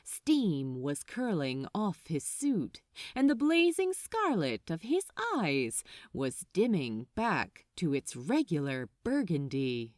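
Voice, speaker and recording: A woman narrates fiction in a lowish voice with deliberate, suspenseful phrasing. Her pacing is very slow and her intonation highly varied, giving a dramatic storytelling feel. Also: huge pitch swings, darkish tone, no room sound, clean studio sound.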